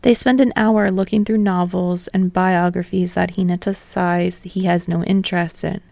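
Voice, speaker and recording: A woman's voice: on the low side, fairly even intonation, normal speed, vocal fry, a little mellow, no room sound. A woman reading fiction aloud as a scripted audiobook narrator.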